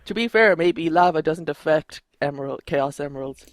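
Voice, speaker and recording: Higher-pitched man chatting over a game. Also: moderate pace, dry recording, studio quality. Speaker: let's play co-commentator. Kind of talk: casual game commentary. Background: game music.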